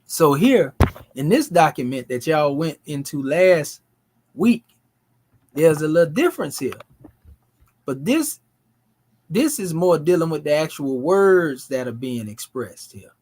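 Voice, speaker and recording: A man, teaching a language class in a relaxed, folksy way. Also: fairly high pitch, measured pace, pauses often. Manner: relaxed, folksy, dialect grammar.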